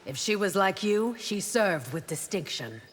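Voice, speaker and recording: An actress playing a proud, loyal and devout royal bodyguard in a video game, composed, formal and stern. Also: female voice, mid-range voice, big pitch swings, a little slow, breathy edge. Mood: composed, firm. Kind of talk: video game character acting. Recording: long reverb with faint noise.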